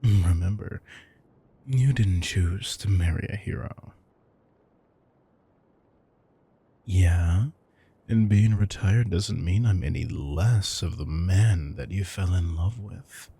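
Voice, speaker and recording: Guy acting as a smug ex-villain house husband, dark mellow gravelly voice, dry but sweet, intimate audio roleplay. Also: full character voice. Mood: affectionate. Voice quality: husky, gravelly low voice. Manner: smug, dry, affectionate, deliberate phrasing. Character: caring retired male supervillain turned thrifty, smug house husband.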